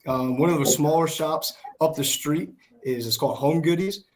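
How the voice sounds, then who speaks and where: A man in his twenties with an American accent and a hoarse voice, chatting casually as a guest. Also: a little high, moderate pace, low-quality recording. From Pennsylvania, United States. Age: 20s. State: hoarse from shouting. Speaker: guest, small business worker. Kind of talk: casual chat. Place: video call.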